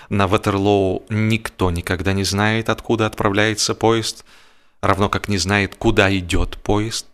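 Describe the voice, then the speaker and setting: A man narrating a humorous literary story in an expressive, measured, mock-solemn way. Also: lowish voice. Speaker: audiobook narrator.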